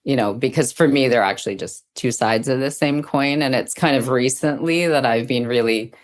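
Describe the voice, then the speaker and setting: A woman's voice: a bass voice, normal speed. Thoughtful middle-aged woman in conversation. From Sri Lanka. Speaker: guest, dance teacher and Zen practitioner. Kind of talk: reflective conversational interview.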